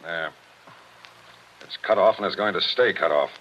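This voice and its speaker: A man playing a gruff, relentless police captain with forceful, clipped talk in a 1940s radio drama. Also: low voice, normal speed, slight background noise, lightly acted. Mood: gruff, insistent. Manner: forceful, insistent, clipped tough-cop talk. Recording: dull vintage 1940s radio recording.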